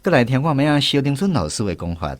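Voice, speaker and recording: A man's voice: medium pitch, very animated, fast, no echo, clean studio sound. A man with a Taiwanese accent hosting a language lesson segment on the radio. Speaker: radio show host.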